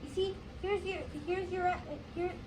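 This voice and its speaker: A female reader playing a calm, reassuring hospital doctor in a school readers theater, halting a little, on a distant noisy mic. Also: extremely high pitch, pitched above the performer's own voice. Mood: explaining. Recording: distant mic, background noise.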